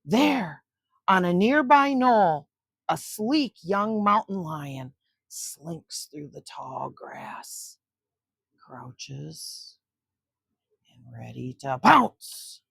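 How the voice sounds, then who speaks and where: Slow, low-voiced older woman reading stories. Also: animated, creaky, breathy edge, no echo. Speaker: children's story-time host. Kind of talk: storybook read-aloud for children. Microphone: close microphone.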